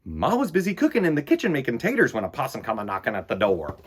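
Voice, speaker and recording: Animated, husky male storytime host. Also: medium pitch, fast. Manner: animated, playful, addresses young listeners directly. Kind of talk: chatty read-aloud for children.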